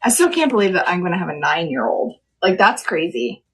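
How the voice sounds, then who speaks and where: A woman's voice: lowish voice, sing-song, brisk, a bit bright, husky, low-quality recording. A woman in her late thirties hosting a live craft stream, chatting casually with viewers and explaining each step as she works. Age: 30s. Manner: chatty, laughs often, explains steps.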